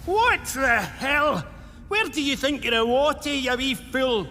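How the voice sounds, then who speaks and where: A man's voice: extremely high pitch, lively intonation, unhurried, pushed and bright, long reverb tail, slight background noise, heavily acted. A man voicing a brusque, scolding Scottish steward in an audio drama.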